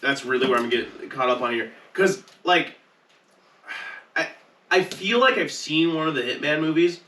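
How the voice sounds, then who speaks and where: A man's voice: fairly high pitch, animated, moderate pace, pushed and bright, slight background noise. A man on a podcast panel, bantering casually with slangy, profane talk. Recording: roomy echo, distant mic.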